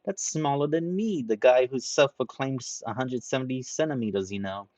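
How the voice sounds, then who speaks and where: A man reading a story aloud, voicing a loud, boastful young man who picks fights and brags. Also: mid-range voice, dry recording, pitched above the performer's own voice, close to an everyday voice. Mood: brash, indignant. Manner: shouting, bragging, quick to pick fights.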